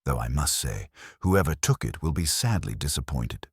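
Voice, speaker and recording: A genteel committee lady, played by a male narrator in a mystery audiobook. She is prim and formal, in a low, husky voice recorded dry. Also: big pitch swings, moderate pace, dry recording, exaggerated voice. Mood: dismayed. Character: genteel, civic-minded lady committee stalwart.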